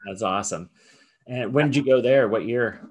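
A man's voice: mid-range voice, normal speed. A man hosting a podcast, asking questions in a friendly, chatty way and laughing easily. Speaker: podcast host. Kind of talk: casual interview.

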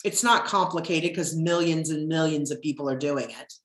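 A middle-aged woman with a deep husky voice, hosting her podcast in casual chat, rambling with plenty of ums. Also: normal speed, fairly bright tone, reverberant. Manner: chatty, rambling, with frequent ums. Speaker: podcast host.

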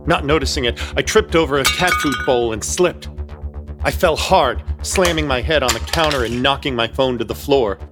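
A man's voice: medium pitch, moderate pace, loud music behind the voice, natural voice. Actor as wry, uneasy male narrator. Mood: tense, unsettled. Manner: florid, wry storytelling. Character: intrepid, uneasy male apartment hunter narrating his ordeal. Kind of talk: scripted first-person horror comedy narration.

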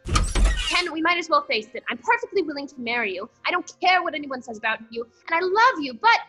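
A woman's voice: sits high, very animated, a little fast, over music. A woman playing a bossy, impatient, fiery young woman reporter in a 1940s radio comedy. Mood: bossy, insistent.

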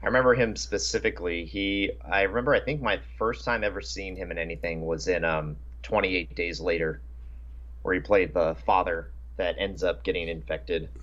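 Male co-host, low-pitched, casual talker, on a somewhat dull lo-fi mic. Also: steady tone, normal speed, fairly bright tone. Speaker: podcast co-host. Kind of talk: casual chat.

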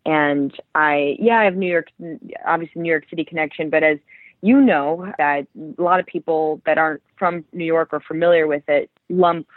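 A woman's voice: sits low, moderate pace, no echo. Guest author, 30s woman from Ohio, thoughtful, restarts a lot, dry tinny phone sound. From Ohio, Cleveland area. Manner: thoughtful, many restarts and fillers. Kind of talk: conversational interview.

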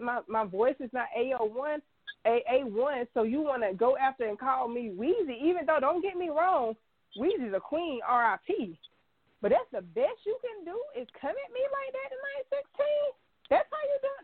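A woman's voice: high-pitched, dry recording. A woman co-hosting a casual podcast, congested from a cold, ranting with dramatic mock outrage. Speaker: co-host. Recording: dry phone-line sound.

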